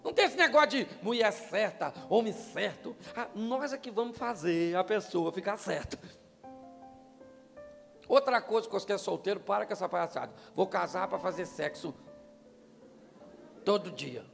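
A man's voice: high-pitched, moderate pace, vocal fry, long pauses. An older man with a Brazilian Portuguese accent, preaching a humorous talk to couples on a church stage with a handheld mic. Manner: joking, animated, asks the crowd questions. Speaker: pastor. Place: church stage, large hall. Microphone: handheld microphone. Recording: live church hall with long reverb and faint music.

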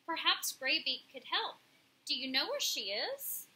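A woman's voice: sits high, sing-song, pushed and bright, full character voice, rough recording. A woman voicing a polite, earnest female robot mother in a storybook, speaking with formal, carefully worded phrasing. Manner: formal, measured wording without contractions.

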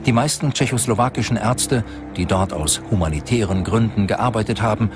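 A man with a low voice delivers scripted documentary narration. Prominent music plays behind him as he reads. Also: brisk.

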